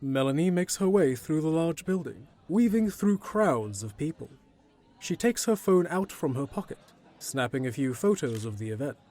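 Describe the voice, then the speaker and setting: A male narrator of an audio drama, reading scripted scene descriptions. His voice sits a little higher than most men's. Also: lively intonation, moderate pace, a little mellow.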